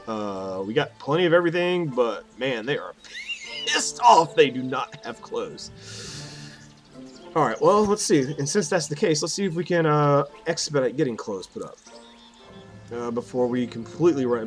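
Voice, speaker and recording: Animated man doing casual gameplay commentary. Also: medium pitch, moderate pace. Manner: self-deprecating, thinking aloud. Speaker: gaming video host. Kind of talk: casual let's play commentary. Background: game music and effects.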